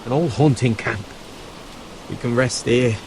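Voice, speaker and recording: Slow, weary wounded man played by an actor. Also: medium pitch, noisy background, lightly acted. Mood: reassuring, weary. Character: wounded, weary, sardonic male fighter. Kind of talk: dramatic video game voice acting.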